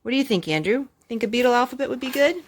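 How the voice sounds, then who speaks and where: A woman's voice: a little high, expressive, very fast, slight background noise. A woman, a mother, doing a children's storytime, reading aloud and chatting with questions for the young listeners. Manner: asks the child questions and repeats answers back. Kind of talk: children's read-aloud storytime with chat and song.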